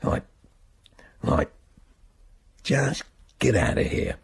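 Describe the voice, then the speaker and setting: An actor with a husky, gravelly voice playing an embittered older ex-prisoner in a radio drama, halting and rambling with blunt turns. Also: male voice, mid-range voice, sing-song, exaggerated voice. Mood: bitter, weary. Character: embittered ageing ex-prisoner protesting his innocence. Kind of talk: naturalistic radio drama acting.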